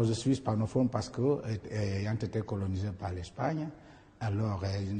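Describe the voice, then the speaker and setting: Halting, low, mellow-voiced man in a hall. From Equatorial Guinea. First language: Fang. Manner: halting, many pauses and restarts. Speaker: candidate being interviewed. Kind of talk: interview answers.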